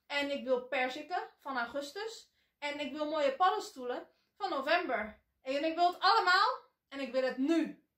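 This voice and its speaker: A woman voicing a greedy, demanding spoiled girl who stammers out loud, whiny demands, in an echoey room. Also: high voice, animated, moderate pace, pitched above the performer's own voice. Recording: echoey room, voice a bit distant.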